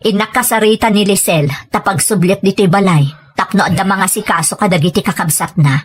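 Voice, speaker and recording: A woman's voice: medium pitch, fairly quick, husky, close to an everyday voice. A woman playing a pressured, determined wife and mother in an Ilocano audio drama, speaking insistently with a Filipino accent. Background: music.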